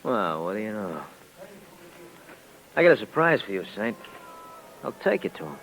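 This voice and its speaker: An actor playing a slangy, sarcastic, menacing thug in an old-time radio crime drama. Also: male voice, on the low side, very animated, moderate pace, vocal fry, fairly bright tone, heavily acted. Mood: sardonic, smug. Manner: tough, slangy, sardonic. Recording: noisy vintage radio recording.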